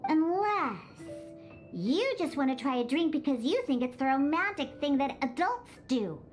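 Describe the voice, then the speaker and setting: A woman's voice: high-pitched, very animated, normal speed, faint music behind. A woman voicing a grumpy, sassy young cat-girl bartender in an anime game, childlike and dismissive. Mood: scornful.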